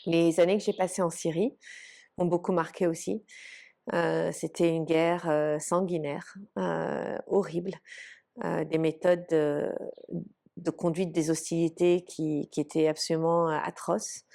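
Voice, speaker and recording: Low, gravelly woman speaking hesitantly. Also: little pitch movement, a little slow, warm tone. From Lebanese, raised in Canada. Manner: hesitant, many "euh" fillers and restarts. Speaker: humanitarian official, interview guest. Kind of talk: reflective interview answers.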